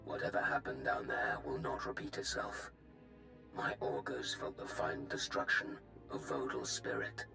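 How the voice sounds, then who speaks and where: An actor playing an imperious Sith lord in a video game, grave, formal and commanding. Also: male voice, extremely low pitch, sing-song, moderate pace, vocal fry, bright, forceful tone, soft background music, full character voice. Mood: stern, menacing. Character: menacing Sith lord, deep and imperious older man. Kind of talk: scripted video game acting.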